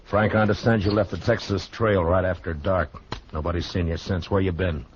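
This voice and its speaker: An actor playing a stern, laconic frontier marshal with quiet authority in a 1950s radio western. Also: male voice, deep voice, steady tone, brisk, natural voice. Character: stern, steady frontier marshal, adult man. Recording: muffled noisy 1950s radio recording.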